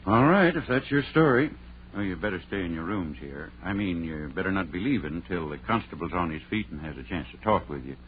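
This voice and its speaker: An actor playing a stern, skeptical older country doctor in an old radio play, folksy and insistent. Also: male voice, deep voice, expressive, brisk. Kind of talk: 1940s radio horror acting. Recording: tinny old radio recording with hum.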